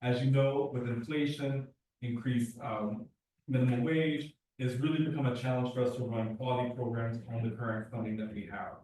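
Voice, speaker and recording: A man speaks at a community board meeting, earnest and quick, often restarting his sentences. His voice sits at an ordinary pitch. It sounds distant and echoey, picked up by a room mic across a large meeting hall. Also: fairly even intonation, warm tone, a little hiss or hum. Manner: earnest, talks fast with restarts. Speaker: youth program director. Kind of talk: public meeting testimony.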